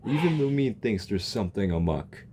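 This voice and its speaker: A man's voice: on the low side, sing-song, normal speed, mellow, slight background noise. A young man reacting to a video game, rambling and joking and tripping over his words. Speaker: gaming reaction youtuber. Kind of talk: casual reaction commentary.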